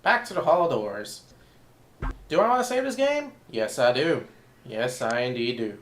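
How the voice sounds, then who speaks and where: A man with a hoarse voice giving casual, rambling commentary while he plays a video game. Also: mid-range voice, sing-song, moderate pace, a bit bright, reverberant, slight background noise. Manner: rambling, thinks aloud while playing. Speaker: let's play commentator. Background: game music.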